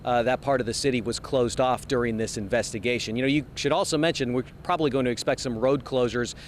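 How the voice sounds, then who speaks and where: A man's voice: steady tone, fast talker. A man reporting live as a television news field reporter from outdoors on a city street. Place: outdoors on a city street outside an event venue. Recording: outdoor street noise, traffic rumble.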